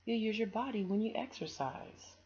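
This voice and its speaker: Woman reading a concerned, gently advising storybook mother. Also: mid-range voice, lively intonation, moderate pace, slightly rough, pitched above the performer's own voice. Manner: gentle, instructive. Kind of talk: children's storybook character voice. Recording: dull, noisy home recording.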